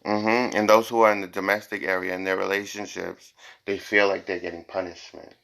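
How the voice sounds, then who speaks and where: A man's voice: low voice, flat delivery, brisk, vocal fry, fairly bright tone, rough recording. A man reading tarot cards for viewers in an informal, improvised way, blunt and emphatic, repeating phrases and swearing at times. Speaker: tarot and psychic reader hosting a reading.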